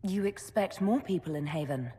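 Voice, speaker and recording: A woman's voice: on the low side, lively intonation, normal speed, mellow, close to an everyday voice. An actress playing a dry, wry young noblewoman hero in a fantasy video game. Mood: curious. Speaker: game protagonist. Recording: reverberant game audio with faint ambience.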